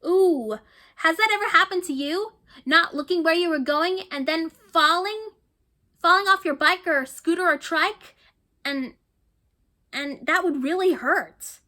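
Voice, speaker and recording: A woman's voice: very high voice, a little slow. A woman reading a picture book aloud to children, stopping to chat and ask listeners questions, and often repeating herself. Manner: chatty, warm asides to young listeners, often repeating herself.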